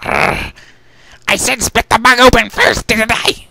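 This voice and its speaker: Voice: medium pitch, fairly even intonation, measured pace, vocal fry, sharp tone, no echo, studio quality, pitched above the performer's own voice, heavily acted. A performer voicing a menacing, taunting diamond dog brute from a pony cartoon story, hungry and gloating. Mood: gruff, impatient.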